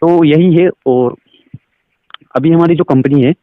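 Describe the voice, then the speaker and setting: A man with an Indian accent giving an explanatory sales pitch over a recorded phone call, asking questions and mixing in English phrases. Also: unhurried, no room sound. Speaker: direct selling recruiter.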